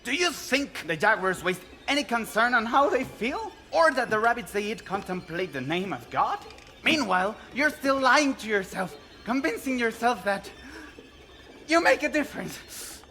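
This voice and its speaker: An arrogant captive lecturing an interrogator in a video game scene. The voice is sharp and bright, sweeping up and down in pitch. The delivery is theatrical, condescending and scornful, over faint music. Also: female voice, very animated, normal speed, full character voice. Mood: scornful, defiant. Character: arrogant, philosophical, nihilistic cartel captive under interrogation. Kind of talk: dramatic video game cutscene acting.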